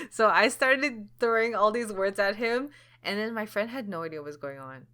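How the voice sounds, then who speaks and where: A woman's voice: mid-range voice, expressive, pushed and bright. A young woman co-hosting a podcast, chatting casually and laughing often, not originally from Toronto. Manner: giggly, chatty, quick asides. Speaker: podcast co-host. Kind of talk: casual chat.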